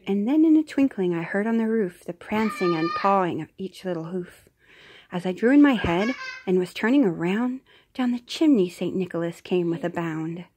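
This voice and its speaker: A woman's voice: medium pitch, lively intonation, normal speed, a little hiss or hum. Mother reading a storybook aloud. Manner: gentle, with soft whispered asides.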